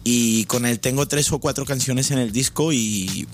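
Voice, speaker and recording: A man's voice: mid-range voice, moderate pace. Colombian-born rapper guy on the radio, earnest, explains a lot, faint music behind. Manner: earnest, explains at length, laughs easily. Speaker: rapper, interview guest. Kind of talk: casual radio interview.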